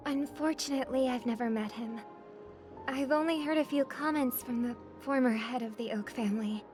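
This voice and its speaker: An actress playing a gracious, idealistic young celebrity in a video game, speaking in a polite, measured, earnest way. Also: female voice, sits high, over music, natural voice.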